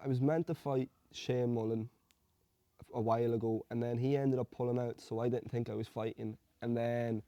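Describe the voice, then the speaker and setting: A young man from Hungary, an amateur fighter telling his story in a relaxed, rambling way. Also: mid-range voice, no echo. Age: young adult. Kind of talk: casual interview answers.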